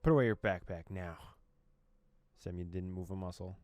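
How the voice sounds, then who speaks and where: Deep-voiced man narrating a game. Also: normal speed, a little mellow, dry recording. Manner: dramatic narration with amused asides. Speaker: gaming YouTuber. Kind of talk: reading a visual novel aloud with commentary.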